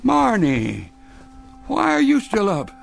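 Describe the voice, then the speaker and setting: Creaky, halting old grandfather. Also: high-pitched, huge pitch swings, a little hiss or hum, exaggerated voice. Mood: fondly scolding. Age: older. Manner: folksy, halting, stammering. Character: gentle, stubborn old great-grandfather, newly lifted in spirit. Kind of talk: radio drama acting.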